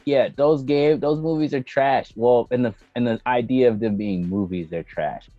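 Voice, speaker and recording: A man's voice: medium pitch, moderate pace, mellow, no echo. A man chatting casually as a co-host, giving blunt, emphatic opinions in slangy, profane language. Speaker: podcast co-host. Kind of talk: casual opinionated chat about video game movies. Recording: dull lo-fi mic, music underneath.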